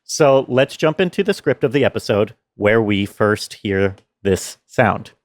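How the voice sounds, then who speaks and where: A man explaining his sound design work in a measured behind-the-scenes walkthrough. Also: fairly low pitch, animated, no room sound, studio quality.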